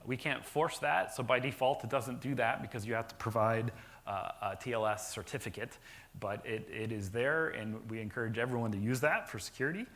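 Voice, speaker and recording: A man giving a conference talk about software deployment to a live audience, explaining with frequent fillers and restarts and a naturally loud voice. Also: mid-range voice, a little fast. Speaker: conference presenter. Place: conference session room.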